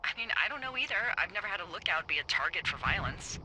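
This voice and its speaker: An actress playing a wry, teasing fire lookout supervisor in a video game, talking casually and dryly over a walkie-talkie. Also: female voice, on the low side, big pitch swings, fast, pushed and bright, slight background noise, heavily acted, low-quality recording. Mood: concerned. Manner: dry, playful, conversational. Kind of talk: naturalistic video game voice acting, casual banter.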